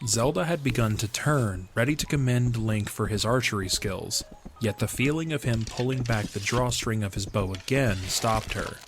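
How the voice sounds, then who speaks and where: A man reading scripted fantasy story narration in a measured storytelling manner. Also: fairly low pitch. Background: background music.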